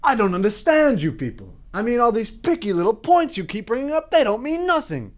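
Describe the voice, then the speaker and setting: A woman's voice: fairly high pitch, sing-song, a little fast. A woman performing a dramatic monologue as an angry bigoted juror, agitated and emphatic as she argues with the others. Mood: scornful, heated. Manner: agitated, emphatic, stammering pleas.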